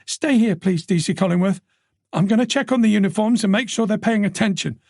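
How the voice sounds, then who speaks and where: A man's voice: high voice, a little fast, a little mellow, no room sound, clean studio sound, pitched above the performer's own voice. A male narrator voicing a frightened but determined female detective who speaks in urgent, clipped bursts. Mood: firm.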